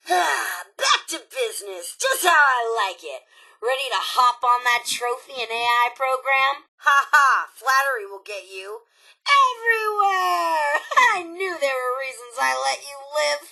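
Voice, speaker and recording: A woman's voice: high voice, creaky, sharp tone, full character voice, poor audio. An actress playing a sassy, cutthroat young female robot raider in a video game, sarcastic, flippant and theatrical, teasing as she talks. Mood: gleefully cocky. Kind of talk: video game character dialogue.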